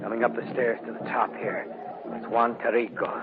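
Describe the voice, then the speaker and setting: A man's voice: medium pitch, steady tone, fairly quick, close to an everyday voice. A male actor playing a level-headed, knowledgeable expedition member, earnest and grave, in 1950s radio drama style. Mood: grim. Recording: noisy, muffled old radio recording.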